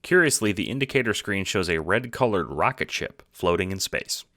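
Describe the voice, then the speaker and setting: A man's voice: low-pitched, lively intonation, normal speed, sharp tone, no echo, studio quality. A man reading scripted second-person story narration as the narrator of an audio drama.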